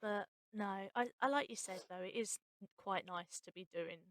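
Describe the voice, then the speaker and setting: A woman's voice: mid-range voice, normal speed, a bit bright. A woman hosting a casual behind-the-scenes chat, talking in an informal, candid way. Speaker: host and writer.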